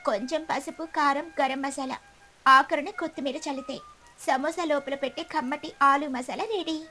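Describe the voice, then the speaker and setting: A woman's voice: high-pitched, fairly even intonation, moderate pace, bright, forceful tone. A woman voicing a practical, kindly mother sparrow who cooks and instructs in a cartoon story. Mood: instructive. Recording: music behind the voice.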